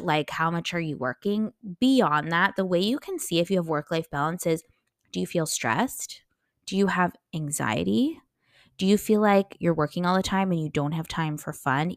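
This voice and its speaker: American woman, young adult, slightly low pitch, chatty, enthusiastic, emphatic, informal solo podcast, dry close sound. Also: no room sound. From United States. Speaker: podcast host and life coach.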